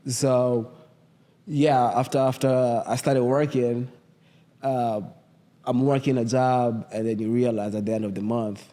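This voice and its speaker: A man in his thirties with a Kenyan accent, a guest telling his story in a relaxed, casual way and often saying "you know what I mean". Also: medium pitch, a little slow, long reverb tail. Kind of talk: casual interview chat.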